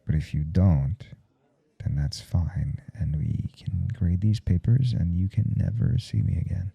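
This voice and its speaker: A man playing a blunt, intimate professor in an ASMR audio roleplay, speaking in a halting, confiding way with a teasing, domineering edge. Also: steady tone, exaggerated voice. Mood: earnest, vulnerable. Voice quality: low, gravelly, soft and dark. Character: adult male professor, intimate, callous, earnest, teasingly domineering.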